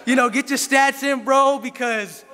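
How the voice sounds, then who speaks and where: Animated high-voiced man preaching in a church. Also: measured pace. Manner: animated, gesturing at the pulpit, playful asides to the congregation. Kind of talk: sermon. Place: church sanctuary, indoors. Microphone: stand mic at a pulpit. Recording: reverberant church hall with faint congregation.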